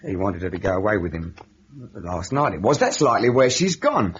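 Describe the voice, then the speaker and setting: Nervous, stammering lodger, swooping pitch. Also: male voice, very animated, fairly quick, fairly bright tone. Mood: dejected, hesitant. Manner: stammering, evasive, defensive. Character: nervous, lovesick working-class lodger hiding guilty fear. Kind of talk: old-time radio drama acting. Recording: dull, noisy old radio recording.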